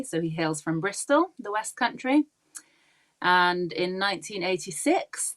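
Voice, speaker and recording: A woman in her forties who grew up in Pakistan, chatting as a podcast guest, talking readily and laughing easily between thoughts. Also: lowish voice. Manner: chatty and warm, laughing often, many ums. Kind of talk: casual interview chat.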